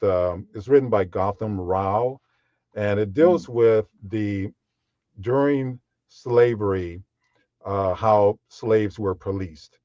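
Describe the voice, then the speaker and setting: A man with a deep voice, a guest voiceover artist speaking in a measured, unhurried way with frequent fillers in a podcast interview. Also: a little mellow, dry recording, muffled recording.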